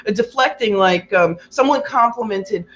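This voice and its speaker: A woman with a loud, carrying voice giving a rambling, casual self-help talk as a Reiki practitioner, acting out quotes with big exaggerated jumps in pitch. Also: moderate pace, dull audio. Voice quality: loud voice that carries. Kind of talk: casual motivational talk to viewers.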